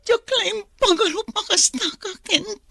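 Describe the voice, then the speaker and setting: A woman's voice: extremely high pitch, unhurried, vocal fry, sharp tone, no room sound, clean studio sound, heavily acted. A woman playing a young, troubled, pregnant daughter in an Ilocano radio drama, tearful and pleading. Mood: hurt, bitter.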